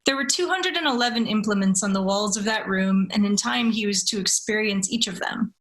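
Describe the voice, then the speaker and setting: A woman who speaks in a measured, deliberate way, with careful phrasing. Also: medium pitch, a bit bright. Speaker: story reader. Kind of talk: dramatic literary reading, then casual discussion.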